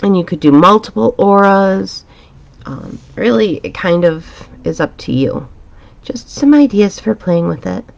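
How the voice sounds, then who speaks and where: A woman teaching a drawing pattern step by step in a calm, chatty, reassuring way, her voice a little raspy. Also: mid-range voice, unhurried, lo-fi sound. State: slightly raspy from a dusty room. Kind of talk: relaxed step-by-step drawing tutorial. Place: home art studio, indoors.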